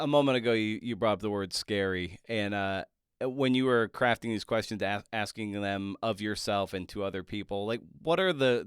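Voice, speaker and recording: A man hosting a podcast in casual interview chat, speaking in a halting, thoughtful way as he circles toward his point. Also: fairly low pitch, smooth, no room sound, clean studio sound. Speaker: podcast host.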